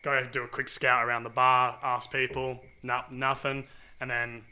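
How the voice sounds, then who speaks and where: A man tells a laid-back, animated story on a casual podcast. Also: mid-range voice, moderate pace. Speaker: podcast co-host.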